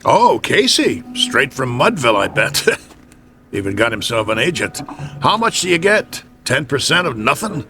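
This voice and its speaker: An actor playing a sarcastic, exasperated American manager of a losing baseball club in a radio drama, brash and slangy. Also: male voice, mid-range voice, very animated, normal speed, sharp tone. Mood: mocking.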